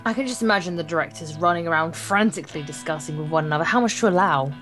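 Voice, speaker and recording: A woman's voice: low-pitched, quick pace, fairly bright tone, faint music behind. A woman reading a visual novel aloud for a gameplay video, in a playful, theatrical way with crude joking asides.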